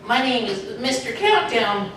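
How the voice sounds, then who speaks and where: A woman performs an eager little know-it-all superhero kid. The voice is fairly high and animated, coming from afar in an echoing, noisy room. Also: measured pace. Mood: earnest, precise. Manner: eager, literal-minded. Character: earnest, pedantic eight-year-old superhero kid who counts. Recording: echoey room, far from mic, clear noise.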